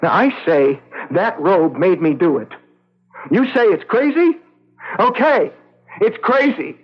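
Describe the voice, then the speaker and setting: An actor playing a tormented, weary man who claims to be possessed, speaking haltingly in a 1970s radio drama. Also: high voice, expressive, slightly rough, full character voice. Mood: defiant, desperate. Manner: halting, weary, pausing mid-sentence. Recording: muffled noisy vintage radio broadcast.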